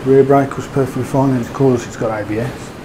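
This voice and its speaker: A man's voice: mid-range voice, fairly even intonation, fairly quick, background noise. A man giving a casual, rambling motorbike review, with fillers and hesitations. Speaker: motovlogger.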